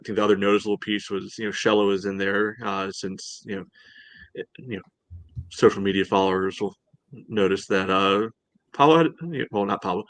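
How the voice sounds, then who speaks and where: A man with a low voice and little melodic movement talks through a soccer match as a podcast co-host. He rambles casually, leaning often on "you know". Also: a bit bright, no echo.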